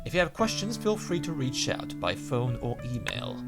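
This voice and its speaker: A man's voice: mid-range voice, huge pitch swings, normal speed, creaky, over loud music, pitched above the performer's own voice. Man playing a formal, earnest assistant principal. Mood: courteous.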